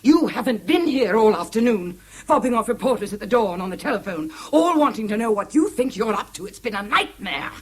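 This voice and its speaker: Husky, scornful English wife. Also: female voice, fairly high pitch, lively intonation, a little fast, few pauses. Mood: bitter, accusing. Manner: biting, sarcastic, emphatic. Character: scornful, indignant wife berating her husband. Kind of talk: 1970s British radio drama acting.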